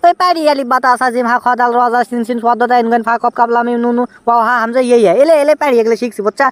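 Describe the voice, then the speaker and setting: A man's voice: very high-pitched, very fast, a bit bright, clear, resonant tone, dry recording. A man voicing a cheeky, evasive young newlywed husband with a Bogura Bangladeshi accent, whining out excuses in a comic cartoon. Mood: chatty excuses.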